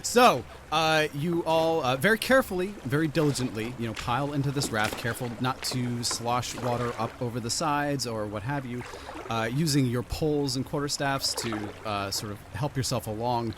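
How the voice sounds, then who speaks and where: A man running a tabletop game as game master, describing scenes in a relaxed, rambling way with many fillers. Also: medium pitch, normal speed, noisy background.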